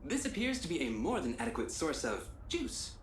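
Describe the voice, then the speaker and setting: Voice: mid-range voice, wildly swooping pitch, fairly quick. Courteous game robot butler, formal, very swooping intonation, echoey with faint noise. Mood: delighted. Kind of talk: video game voice acting.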